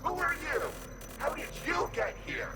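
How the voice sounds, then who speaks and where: A man's voice: very high voice, unhurried, over loud music. A man voicing a mocking, glitchy, grinning entity in the mind of the hero, with a taunting, condescending manner. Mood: scornful. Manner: taunting, condescending, sing-song. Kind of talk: audio drama acting.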